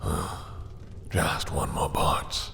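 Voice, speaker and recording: Guy doing a slow deep vampire for roleplay audio, bossy and possessive, silky menace, big reverb. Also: fairly bright tone. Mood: hushed, seductive. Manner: slow, silky, commanding, condescending endearments. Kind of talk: intimate roleplay audio drama acting. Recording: long reverb, faint background noise.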